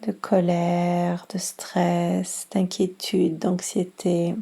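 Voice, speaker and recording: A woman leads a guided relaxation in a soft, mellow voice. Her delivery is very slow and soothing, with breathiness throughout. She gives calm instructions as a meditation teacher would. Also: on the low side, vocal fry, slightly rough. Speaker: yoga nidra instructor and guide.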